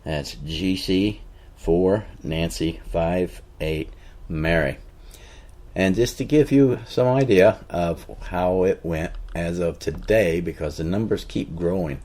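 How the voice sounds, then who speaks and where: A man's voice: deep voice, takes it slowly, darkish tone. A man hosting a casual podcast, rambling a little with fillers and restarts. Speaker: podcast host.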